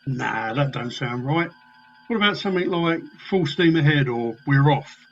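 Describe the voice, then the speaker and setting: A man's voice: fairly high pitch, lively intonation, moderate pace, a little hiss or hum. A man playing an informal, wry working-class spaceship crewman in a comic radio play. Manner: informal, matter-of-fact. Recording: dull lo-fi recording.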